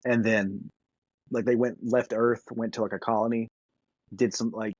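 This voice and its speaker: A man co-hosting a podcast, chatting casually and thinking out loud in a conversational way. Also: on the low side, fairly even intonation, moderate pace, breathy edge, no room sound, dull audio. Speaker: podcast co-host. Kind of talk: casual discussion.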